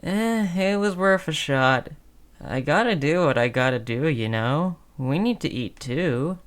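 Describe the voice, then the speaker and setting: A woman's voice: sits low, lively intonation, a little slow. A woman playing a sly, chummy female zombie in an audio roleplay, coaxing in a falsely friendly, hesitant conversational way. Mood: cajoling, reasoning.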